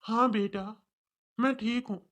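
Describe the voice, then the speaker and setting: A woman's voice: on the high side, steady tone, measured pace, a bit bright, voice pushed down. A woman voicing a humble, regretful older father in a cartoon story, speaking earnestly and respectfully. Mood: polite.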